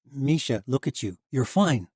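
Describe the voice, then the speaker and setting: A man's voice: mid-range voice, expressive, a little slow, a little mellow, husky, no room sound, studio quality, pitched above the performer's own voice. A man voicing a weary, haunted young man who speaks hesitantly and pleads, in an audiobook. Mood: pleading. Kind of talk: audiobook character voice.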